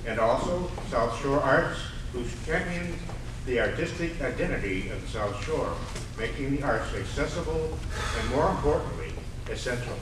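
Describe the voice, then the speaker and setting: A genial old-time radio announcer played by a man delivers a scripted sponsor pitch in a medium-pitched voice. His phrasing is folksy and theatrical, heard from far off in a big reverberant hall. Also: animated, normal speed, natural voice. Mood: genial, promotional. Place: live stage in an arts center. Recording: noisy, very echoey theater, far mic.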